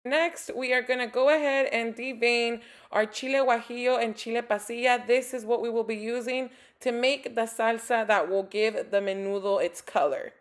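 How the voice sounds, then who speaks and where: A young woman hosting a home cooking channel, narrating a recipe in a chatty, upbeat voice-over and dropping in Spanish food words. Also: a little high.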